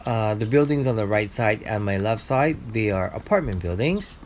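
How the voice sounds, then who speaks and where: A man's voice: on the low side, expressive, moderate pace, darkish tone, slight background noise. Chatty man vlogging his day. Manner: chatty, explains things to viewers. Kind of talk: casual vlog narration.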